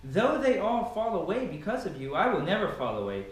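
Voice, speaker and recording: A man's voice: high-pitched, wildly swooping pitch, a little fast, far from the mic, pitched above the performer's own voice. A man playing an earnest, loyal, insistent male disciple in a dramatic scripture reading. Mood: earnest insistence. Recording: heavily echoing room.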